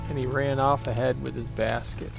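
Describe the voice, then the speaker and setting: A man narrating a children's picture book aloud in a deliberate, expressive storytelling manner. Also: mid-range voice, gravelly, music in the background, rough recording.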